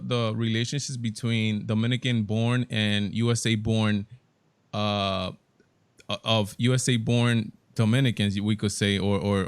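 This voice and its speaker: A young man hosting a casual discussion, talking in animated bursts and often repeating words as he gets going. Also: fairly low pitch, smooth, dry recording. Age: young adult.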